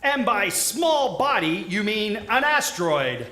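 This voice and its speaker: A man's voice: high-pitched, sing-song, slow pace, bright, forceful tone, heavily acted. A man playing a slick, probing talk show host in a live radio play, with polished announcer delivery. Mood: skeptical. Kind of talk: live radio theatre acting. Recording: echoey live stage recording.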